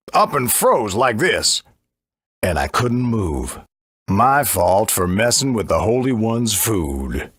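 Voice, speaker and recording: Big friendly game monster, husky folksy voice, rueful, swings up and down a lot. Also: male voice, lowish voice, no room sound, full character voice. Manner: folksy, wry. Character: big tame talking forest monster, gruff and rueful. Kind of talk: video game voice acting.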